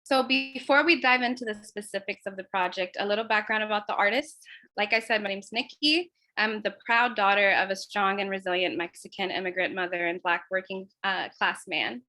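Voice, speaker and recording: A woman's voice: medium pitch, a little fast, pushed and bright. A woman from Los Angeles, a resident artist, giving an earnest prepared presentation of her community project.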